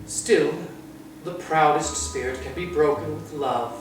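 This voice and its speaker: A man's voice: a little high, a little slow, over music, natural voice. A man voicing a sinister, controlling false mother who coaxes sweetly with menace in a storybook reading. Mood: cold, controlling. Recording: very distant, echoey room.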